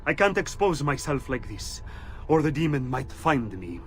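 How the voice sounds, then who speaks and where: An actor playing a paranoid, superstitious conspirator, brooding and fearful, in a video game. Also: male voice, mid-range voice, sing-song, moderate pace, a little hiss or hum, natural voice. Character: paranoid, superstitious male conspirator reading his letter aloud.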